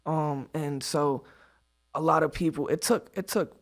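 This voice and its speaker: A young man, a rapper guest, talking in a relaxed, lighthearted way with a raspy voice and frequent laughs. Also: measured pace. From New York State. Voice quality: raspy, fairly high voice. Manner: laughs often, easygoing, lighthearted. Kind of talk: casual interview chat.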